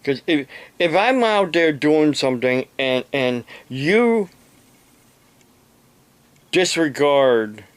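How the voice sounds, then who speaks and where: A man from the United States giving an unscripted explanation to camera, speaking haltingly in short phrases with long pauses between them. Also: a little high, big pitch swings, smooth, no echo. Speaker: amateur radio operator and preacher making a video.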